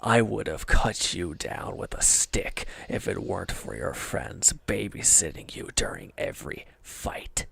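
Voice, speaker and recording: A man playing a wounded, proud villain in an intimate audio drama, sullen and guarded, curt and halting in a breathy murmur. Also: low-pitched, fairly bright tone, slight background noise, heavily acted. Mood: scornful, menacing.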